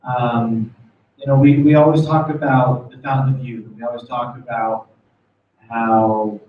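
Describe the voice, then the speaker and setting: A man's voice: medium pitch, fairly even intonation, measured pace. A man with an American accent giving an informal motivational talk as a corporate guest speaker, repeating phrases for emphasis. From United States. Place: meeting room, speaking before small audience. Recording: very echoey room, distant muffled mic.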